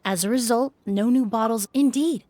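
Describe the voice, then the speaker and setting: A woman playing a courteous, composed young perfumer in a video game, explaining things in a measured, scholarly way. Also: on the high side, mellow, dry recording, close to an everyday voice. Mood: earnest. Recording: background music.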